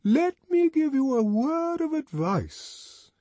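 A man voicing a pompous, solemn male church dignitary with a ringing, sepulchral voice and slow, grave delivery. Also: very high-pitched, sing-song, mellow, dry recording, voice pushed up, exaggerated voice. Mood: severe.

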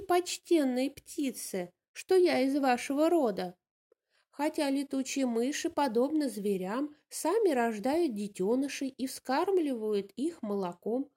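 A woman's voice: sits high, mellow. A woman voicing a sly, ingratiating female bat in a fairy tale, pleading her case courteously. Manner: pleading, courteous self-justification.

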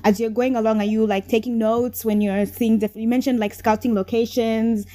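A woman's voice: on the high side, little pitch movement, moderate pace, dark tone. A woman from Zambia hosting an interview, asking questions hesitantly with many "um"s and restarts. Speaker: podcast host.

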